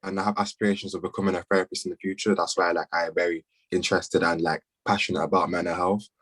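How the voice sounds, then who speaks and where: Young guy from northern england, soft spoken, video call. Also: sits low, level tone, fast talker, breathy edge, no room sound. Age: 20s. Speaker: panelist.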